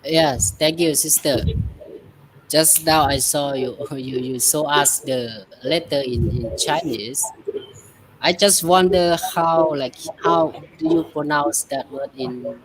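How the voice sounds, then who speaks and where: A moderator of an online panel who asks questions haltingly, repeating words and adding short acknowledgements. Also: female voice, low voice, background noise.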